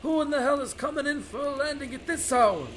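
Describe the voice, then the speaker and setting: A man's voice: extremely high pitch, brisk, fairly bright tone, a little hiss or hum. An actor playing a bossy, slangy airfield controlman in an old time radio drama. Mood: bossy, startled. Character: brash, dutiful airfield controlman, bossy with his partner. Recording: music bed behind the dialogue.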